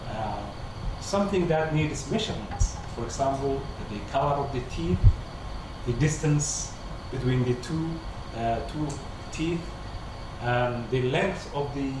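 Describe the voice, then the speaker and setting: A man on a lecture panel answering a question with a hesitant manner, pausing on many fillers. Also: medium pitch, expressive. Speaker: co-researcher on the panel. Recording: echoey hall from far off, noisy.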